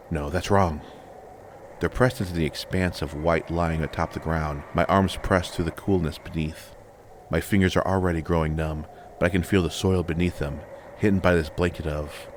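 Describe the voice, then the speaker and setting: A man with a low, deep voice narrates a fantasy tale in the first person. His delivery is measured and dramatic, built on deliberate phrases. A little noise and ambience runs under the recording. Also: fairly even intonation. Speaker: audiobook narrator.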